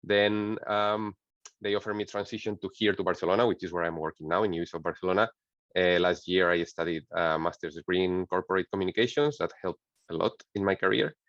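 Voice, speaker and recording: A man from Spain with a very low voice talks in a relaxed way, with some uh fillers. The audio is dry and dull, as if from a video call. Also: brisk, no echo. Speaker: panelist. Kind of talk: online panel introduction.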